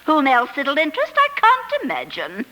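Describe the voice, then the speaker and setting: Bossy wife, 40s radio drama, sarcastic, high-ish and super animated. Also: female voice, full character voice. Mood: scornful, indignant. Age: middle-aged. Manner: domineering, brisk, sarcastic. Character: bossy, scornful middle-aged English wife, nagging. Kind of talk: 1940s radio drama acting. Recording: old 1940s radio broadcast.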